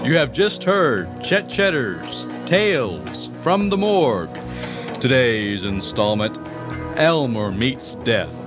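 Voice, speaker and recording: A man's voice: medium pitch, very animated, slow pace, music in the background. A man reading scripted closing credits as a radio announcer.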